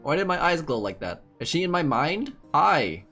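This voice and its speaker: A man's voice: fairly high pitch, big pitch swings, moderate pace, pushed and bright, faint music behind. A man giving casual playthrough commentary as a gaming streamer, reacting aloud and musing in questions.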